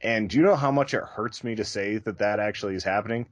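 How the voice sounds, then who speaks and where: A man with a low voice talks candidly in casual podcast conversation. The sound is dry and close, and his delivery is conversational and a little self-deprecating. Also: fast talker, no room sound. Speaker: podcast co-host.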